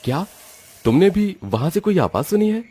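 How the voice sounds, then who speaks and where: A man's voice: sits high, sing-song, normal speed, mellow, slightly rough, heavily acted. A man voicing a cautious, protective young father and husband in a moral-story cartoon. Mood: wary.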